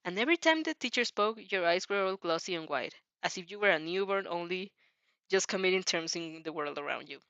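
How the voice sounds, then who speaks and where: A woman's voice: fairly low pitch, expressive, fairly quick, a bit bright, clear, resonant tone, no room sound, dull audio. A woman informally narrating fan fiction, giggly, often stumbling and rereading phrases as she goes. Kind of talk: informal story narration with reactions.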